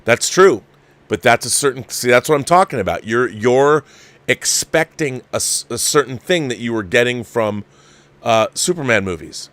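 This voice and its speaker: A man's voice: mid-range voice, animated, moderate pace, fairly bright tone, no room sound. A man hosting a live movie review show, talking casually and emphatically in a rambling way, repeating phrases to press his point. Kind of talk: casual livestream film commentary, reading viewer messages.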